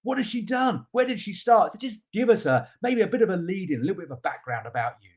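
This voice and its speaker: A man's voice: high voice, sing-song, fast talker, a little mellow. A man hosting a live webinar, greeting viewers and introducing his guest in a chatty, enthusiastic way with frequent fillers. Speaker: webinar host.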